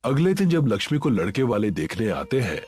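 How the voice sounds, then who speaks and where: A man's voice: mid-range voice, very animated, a little fast, darkish tone, faint music behind. A man narrating a scripted folk story as a storyteller.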